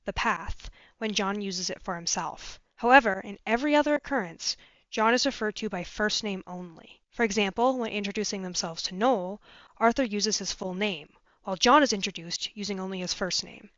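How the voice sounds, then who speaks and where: A woman reading out a prepared listener message, making her argument in a measured, orderly way. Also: mid-range voice, fairly bright tone, no room sound. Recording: dry, slightly dull voice message.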